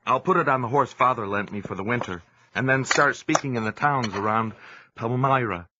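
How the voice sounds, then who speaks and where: An actor playing an earnest, devout young preacher in an audio drama, with some narration. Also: male voice, medium pitch, a little fast, natural voice. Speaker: narrator and lead character. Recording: dull lo-fi audio with music.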